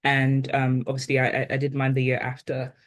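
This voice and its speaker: A woman's voice: extremely low pitch, monotone, a little fast. Reflective young woman, mixed Ghanaian-British accent. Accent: blend of Ghanaian and British, now neutralized. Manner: thoughtful, with many "like" and "um" fillers. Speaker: guest. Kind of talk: reflective interview conversation.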